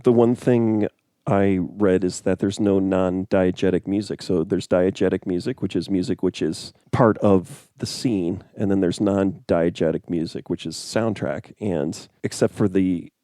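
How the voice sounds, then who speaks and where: Man chatting with a low dark voice. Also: a little slow, dry recording, clean studio sound. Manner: animated, laughs often, trails off mid-sentence. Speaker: podcast co-host. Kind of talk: casual chat.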